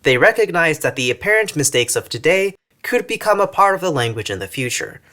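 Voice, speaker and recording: Wry, animated Texan-raised man narrating. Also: a little high, fairly quick. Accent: mostly General American with slight Texan features. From northern Texas. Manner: wry, mildly sarcastic, emphatic. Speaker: language YouTuber. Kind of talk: scripted video essay narration.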